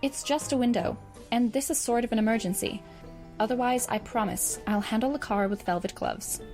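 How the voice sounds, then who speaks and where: A woman playing a composed, pragmatic teenage girl with a dry, precise, deadpan manner in a web audio drama. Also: fairly high pitch, fairly quick, soft background music, lightly acted.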